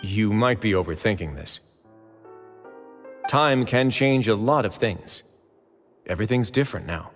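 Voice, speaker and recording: A man voicing a shrewd, self-assured authority figure in a video game, composed, deliberate and persuasive. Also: lowish voice, lively intonation. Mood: assured, sincere. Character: self-assured male authority over prisoners, shrewd and reasoning. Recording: long reverb, music behind.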